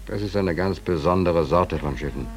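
An actor playing a jobless, stubborn, weary sailor in a 1940s German radio play, speaking in clipped colloquial phrases. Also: male voice, low voice, normal speed, natural voice. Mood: weary, resigned. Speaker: ship's stoker.

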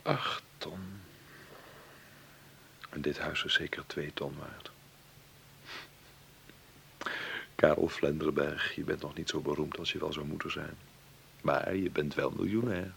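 An actor playing a mild, polite filmmaker in a Dutch radio play, with a gravelly, husky voice and a hesitant, conversational manner. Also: male voice, extremely low pitch, steady tone, a little slow, a bit bright, a little hiss or hum, exaggerated voice. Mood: quietly triumphant. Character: Karel Vlenderberg, gentle mild filmmaker, newly rich heir.

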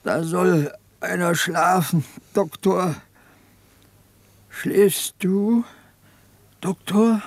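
An actor in a 1950s German radio drama playing a guilt-ridden peasant partisan, brooding and insistent. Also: male voice, high-pitched, extremely slow, pauses often, dry recording, heavily acted. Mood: agitated, anguished. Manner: brooding, insistent confession, swinging from muttering to agitated outbursts. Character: haunted, guilt-ridden peasant partisan soldier, family man.

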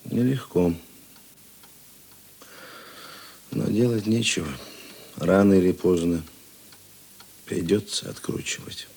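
An actor playing a seasoned police investigator in a radio drama, gruff but talkative, with a husky voice and halting, conversational delivery. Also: male voice, low voice, a little mellow, slight background noise. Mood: grave, musing. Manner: musing, halting, conversational asides. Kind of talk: Russian radio drama dialogue.

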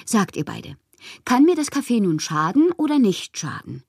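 Husky, breathy, passionate bohemian poetess. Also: female voice, a little high, big pitch swings, normal speed, a little mellow, no room sound, studio quality, heavily acted. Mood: earnest, troubled. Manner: theatrical, dreamy, often slipping into breathy whispers. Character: passionate, eccentric bohemian poetess reading out her fanciful letters. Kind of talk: dramatic literary monologue in a German radio play.

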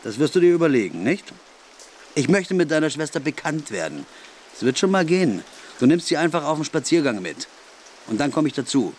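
A man's voice: a little high, big pitch swings, fairly quick, a little mellow, slight background noise. A man playing a bullying teenage boy in a radio play, sly and falsely friendly, taunting and giving orders. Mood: coaxing, menacing.

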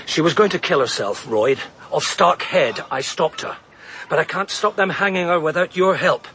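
An earnest, urgent protector. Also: male voice, on the high side, normal speed, fairly bright tone, a little hiss or hum. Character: earnest protective man, determined to help. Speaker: McWhirter, amateur investigator. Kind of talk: BBC radio drama acting.

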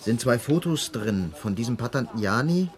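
An actor playing an eager junior police detective in a radio drama, talking in a casual, chatty way. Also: male voice, medium pitch, lively intonation, moderate pace, close to an everyday voice. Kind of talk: German radio drama acting.

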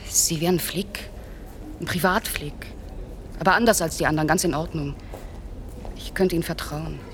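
A woman's voice: sits low, moderate pace, noisy background, lightly acted. An actress playing a mysterious young woman in her early twenties in a radio crime play, terse and guarded. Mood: subdued, wary. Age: young adult. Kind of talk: radio crime drama dialogue.

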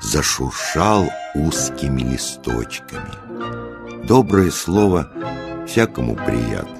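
A man narrating a scripted children's tale in an expressive, deliberate storyteller's delivery. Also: rumbling low, gravelly, exaggerated voice. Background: music.

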